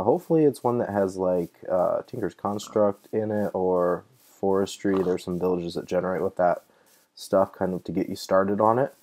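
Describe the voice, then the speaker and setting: A young man with a deep, mellow voice narrates his gameplay in a loose, chatty way. He gets excited easily and thinks aloud with plenty of fillers. He's a little sick, so his voice sounds slightly off. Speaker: gaming channel host. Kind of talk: casual let's-play commentary.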